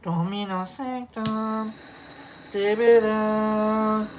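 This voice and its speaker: A livestream host chatting casually in a rambling, filler-heavy way, giving affectionate shout-outs to viewers. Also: mid-range voice, fairly even intonation, very slow, slight background noise, rough recording.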